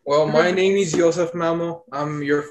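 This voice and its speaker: A man, explaining things in a measured, hesitant way as a guest in a casual podcast conversation. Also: a little high, steady tone. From Ethiopia.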